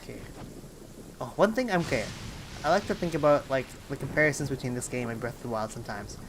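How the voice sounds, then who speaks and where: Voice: medium pitch, fast talker, slight background noise. A game streamer giving casual live commentary, rambling and often breaking off mid-thought while thinking aloud. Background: game music.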